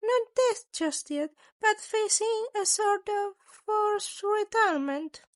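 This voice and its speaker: Sly little male creature headmaster for an audio story, played by a woman: very high, unhurried, elaborately polite, sadistic. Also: no room sound, studio quality. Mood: smooth, menacing. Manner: elaborately polite, wordy, theatrical. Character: small sly chimera mammal school principal, male, sadistic, politely menacing.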